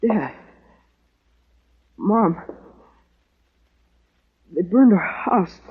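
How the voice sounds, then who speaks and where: An actor playing a wounded, terrified homesteader in a 1950s radio drama, gasping weakly in halting, broken phrases. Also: mid-range voice, lively intonation, slightly rough, full character voice. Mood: weak, traumatized. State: weak, gasping, distressed. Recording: muffled old radio broadcast.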